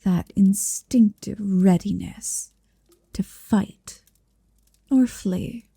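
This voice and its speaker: Woman as a slow, breathy, possessive dragon. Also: medium pitch, warm tone, dry recording, studio quality, full character voice. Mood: tender, possessive. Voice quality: breathy, often whispering. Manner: slow, languid, commanding, teasing. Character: possessive centuries-old female dragon in human shape, haughty, doting. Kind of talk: ASMR roleplay, intimate monologue.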